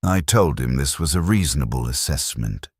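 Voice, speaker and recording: A man narrating a scripted first-person war story in short, clipped sentences with a grave, measured delivery. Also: extremely low pitch, expressive, a little mellow, breathy edge, no room sound, clean studio sound.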